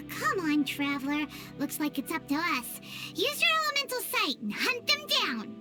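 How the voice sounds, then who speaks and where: A tiny childlike fairy companion with an extremely high voice. She is excitable, nosy and exclamatory, yet takes her time over words, in the manner of a video game character. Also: unhurried, over loud music, full character voice. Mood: suspicious, bossy. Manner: exclamatory, refers to self in third person.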